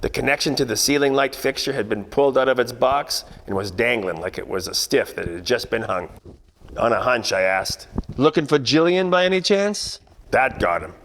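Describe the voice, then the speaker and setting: A man playing a wisecracking, world-weary 1940s private detective, narrating a radio noir parody in deadpan hard-boiled style. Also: mid-range voice, normal speed, big-room sound. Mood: wry, deadpan.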